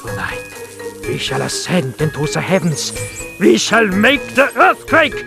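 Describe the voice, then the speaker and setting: Mad cartoon scientist, theatrical, high voice, slow grand delivery, music behind. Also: male voice, wildly swooping pitch, vocal fry, exaggerated voice. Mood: grandiose. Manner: grandiose, melodramatic, wildly swooping. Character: manic, theatrical mad scientist crowing over his creation. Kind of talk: cartoon game voice acting.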